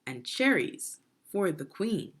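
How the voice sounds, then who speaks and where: A woman's voice: on the low side, sing-song, slow, breathy edge, voice pushed up, exaggerated voice. A woman reading the part of a boastful, confident chef in a children's storybook, with emphatic delivery. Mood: proud, boastful.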